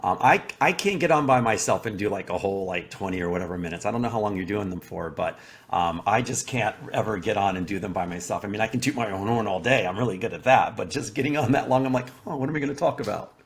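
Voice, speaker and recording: A man's voice: lowish voice, fast. An American man from Texas hosting a podcast in a casual, chatty way and addressing his audience directly. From Texas, United States. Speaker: podcast host. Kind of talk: casual conversational interview.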